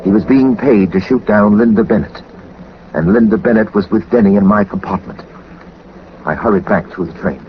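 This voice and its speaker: An actor playing a suave, unflappable detective with polite, dry understatement, in the acting and narrating style of a 1940s radio drama. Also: male voice, on the low side, normal speed, natural voice. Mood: tense narration. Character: suave, unflappable male detective, dryly witty. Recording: dull, very noisy 1940s radio recording.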